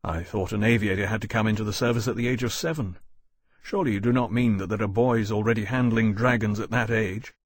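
A man's voice: lowish voice, fast, no room sound, lightly acted. A man voicing a polite, principled naval officer in an audiobook, formal and restrained in his speech. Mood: puzzled.

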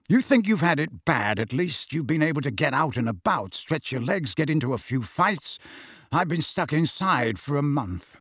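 A man's voice: a little high, fairly bright tone, breathy edge, no room sound, studio quality, heavily acted. A man voicing a grim, bitter, restless man with a sarcastic way of talking, in an audiobook. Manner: sarcastic, colloquial.

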